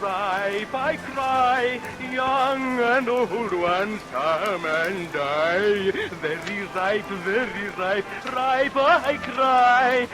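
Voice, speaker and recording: An actor playing a scheming, murderous villain barber in a vintage radio melodrama, declaiming theatrically and relishing each threat. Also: male voice, sits high. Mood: sing-song, menacing. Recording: vintage radio recording under rain noise.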